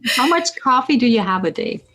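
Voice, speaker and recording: A woman hosting an interview, asking icebreaker questions in a halting way with frequent restarts. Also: on the high side, very animated, fairly bright tone, rough recording. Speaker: host.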